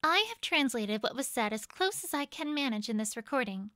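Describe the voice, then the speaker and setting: A woman's voice: a little high, lively intonation, brisk, bright, forceful tone, no echo, studio quality. A woman playing a studious, eager unicorn pony scientist in an audio drama, earnest and precise in manner. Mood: formal, explanatory.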